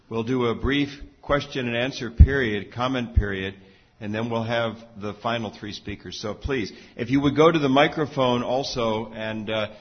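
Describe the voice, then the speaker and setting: A man's voice: medium pitch, muffled recording. A man moderating a conference panel, thanking speakers and introducing the next one. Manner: brisk, formal introductions.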